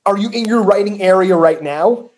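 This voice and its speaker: A man's voice: sits high, moderate pace. New Jersey man hosting an interview. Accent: northern New Jersey. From northern New Jersey, USA. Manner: conversational, with frequent fillers and restarts. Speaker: podcast host. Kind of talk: casual interview questions.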